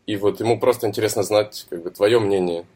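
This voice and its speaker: A man's voice: on the low side, steady tone, brisk, a little mellow, slightly rough. A man hosting a casual interview, asking curious questions in loose, roundabout phrasing. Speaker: interview host.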